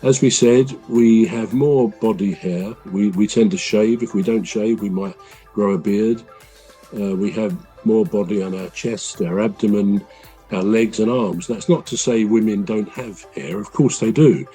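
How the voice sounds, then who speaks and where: A man with a deep voice, hosting a podcast and explaining things at length in a casual, measured way. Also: warm tone, soft background music, poor audio. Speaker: podcast host.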